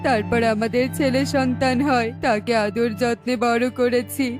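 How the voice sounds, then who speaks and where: A woman's voice: on the high side, fairly even intonation, moderate pace, close to an everyday voice. A woman voicing a wretched, repentant old father crow in a cartoon fable, plaintive and sorrowful. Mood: remorseful, miserable. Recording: music bed behind.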